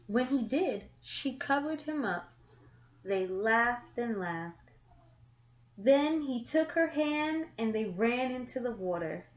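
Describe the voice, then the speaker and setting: A woman reading a children's picture book aloud, with deliberate, expressive phrasing and drawn-out words for young listeners. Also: a little high. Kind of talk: children's story read-aloud. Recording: echoey room, slightly muffled sound.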